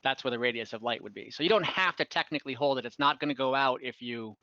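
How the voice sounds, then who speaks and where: A man acting as game master in a casual online tabletop game, explaining rules in an easygoing, halting way. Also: mid-range voice, bright, forceful tone, dry recording, dull audio.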